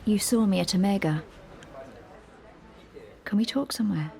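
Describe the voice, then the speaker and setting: A woman's voice: mid-range voice, normal speed, vocal fry, close to an everyday voice. An actress playing an anxious married woman in an audio drama, hesitant and earnest as she confides in police. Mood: nervous, urgent. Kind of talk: naturalistic audio drama acting.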